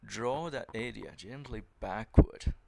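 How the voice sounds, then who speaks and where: A man teaching a guided meditation, speaking in a gentle, measured manner with long pauses between phrases. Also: on the low side, creaky, slight background noise.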